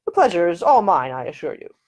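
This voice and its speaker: A woman's voice: lowish voice, big pitch swings, quick pace, a little mellow. A woman voicing a courteous, commanding older marquis in an audiobook. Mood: gracious. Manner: formal, gracious.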